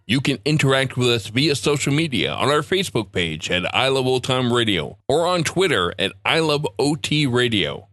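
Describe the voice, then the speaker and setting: A man's voice: mid-range voice, fairly bright tone, no echo. Man with dry, close podcast sound. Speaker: podcast host. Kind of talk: scripted podcast introduction.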